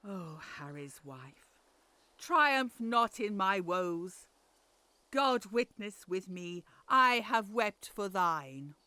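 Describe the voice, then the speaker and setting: A woman's voice: mid-range voice, animated, extremely slow, pushed and bright, dry recording, exaggerated voice. A weary old royal mother. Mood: mournful. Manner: weary, mournful. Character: aged mother of a king, sorrowful and weary. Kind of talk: Shakespearean audio drama acting.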